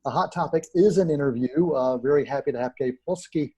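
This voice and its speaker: A man's voice: a little high, brisk, warm tone, husky. A man hosting a movie show, introducing and questioning his guest in a friendly, hesitant way with many "uh"s. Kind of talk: hosting an interview.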